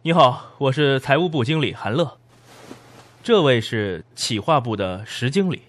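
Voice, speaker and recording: A man's voice: mid-range voice, animated, a little slow, a bit bright, a little hiss or hum. A man playing a chummy, teasing young finance manager in an audio drama, with playful delivery. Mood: polite. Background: background music.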